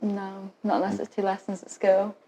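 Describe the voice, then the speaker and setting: Mellow young woman, brief casual answers. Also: medium pitch, monotone, normal speed, a little hiss or hum. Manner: brief, informal answers peppered with "like". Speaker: student acting as patient being questioned.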